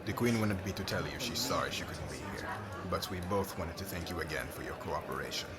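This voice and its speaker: A man playing a solemn, diplomatic king in an ASMR roleplay, speaking in a formal, measured, oratorical way. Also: low-pitched, loud music behind the voice, lightly acted. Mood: gentle, apologetic. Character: solemn diplomatic young king addressing subjects and friend.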